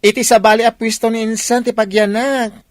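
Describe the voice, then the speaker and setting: Woman acting whiny effeminate ilocano son, radio drama, slightly high, no echo. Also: level tone, brisk, lightly acted. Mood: plaintive.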